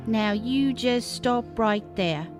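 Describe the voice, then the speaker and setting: A woman's voice: a little high, slow pace, soft background music. A woman playing a loyal, plucky secretary with an English accent in a 1940s-style detective radio drama. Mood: encouraging, firm.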